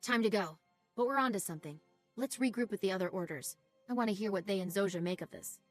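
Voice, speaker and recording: Woman playing brisk, assertive little engineer heroine. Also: medium pitch, lightly acted. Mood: urgent. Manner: assertive, businesslike. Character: confident, brisk young female gnome-like engineer agent. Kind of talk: video game voice acting. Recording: game music under the voice.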